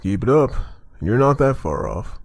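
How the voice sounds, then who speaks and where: A man's voice: medium pitch, big pitch swings, moderate pace, creaky, dry recording, studio quality. A man playing a determined zombie-apocalypse survivor leader in a dramatic comic dub. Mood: calm, encouraging.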